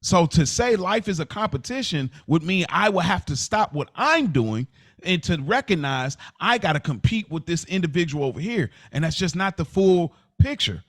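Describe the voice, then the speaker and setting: Man, podcast co-host, lively swooping pitch, casual debate, emphatic. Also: moderate pace. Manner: emphatic, asks and answers own questions.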